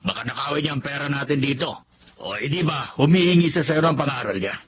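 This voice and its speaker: A man's voice: fairly high pitch, brisk, crowd noise, lightly acted. A man with a Filipino accent playing a scheming, callous husband and father in a melodramatic radio drama. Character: scheming, suspicious middle-aged husband and father, callous villain.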